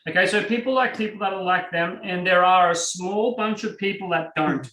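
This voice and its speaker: A man's voice: high voice, normal speed. Australian man teaching, roomy and distant. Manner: lively, conversational, acts out examples. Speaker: NLP trainer. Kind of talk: teaching a live online class. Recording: roomy and from off the mic.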